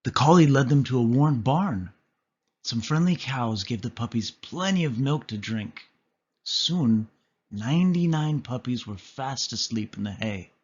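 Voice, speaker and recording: Male storybook narrator, husky mid-low voice, children's read-aloud, expressive delivery, dull lo-fi recording. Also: a little slow. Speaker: storybook reader.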